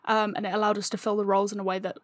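A woman's voice: mid-range voice, level tone, very fast, dry recording, clean studio sound. A woman explaining her creative process earnestly and conversationally, as a guest in an interview. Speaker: podcast guest, audio drama writer.

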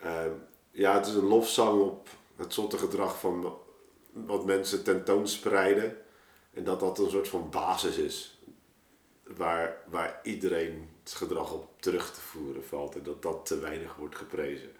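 Male guest, deep voice, Netherlands Dutch accent, informal explainer, roomy sound. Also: fairly even intonation, unhurried, distant mic. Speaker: guest, poet and performer. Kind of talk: casual explanatory chat. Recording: echoey room, slightly off mic.

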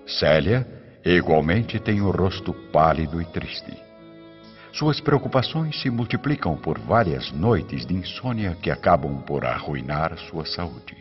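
A man narrating a scripted radio drama in a solemn, measured and expressive way. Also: low voice. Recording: dull, band-limited radio recording, music bed.

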